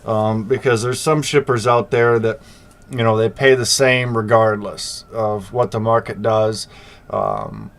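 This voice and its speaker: A man's voice: on the low side, lively intonation, measured pace. A middle-aged man hosting a freight business channel, talking casually to viewers with a slight sore throat, plenty of fillers and easygoing asides. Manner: conversational, many fillers, reassuring asides. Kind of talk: casual talk to camera.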